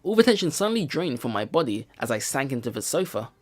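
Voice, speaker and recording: A man doing a let's play, reading visual novel narration aloud in an expressive storytelling style. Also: medium pitch, fast talker. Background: game music.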